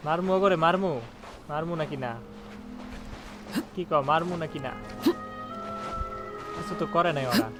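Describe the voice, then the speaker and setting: A man's voice: fairly high pitch, racing, long pauses. A man chatting casually as he streams a game, informal and repetitive, mixing in English gaming words. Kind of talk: casual gameplay chat and commentary. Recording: game music behind the voice.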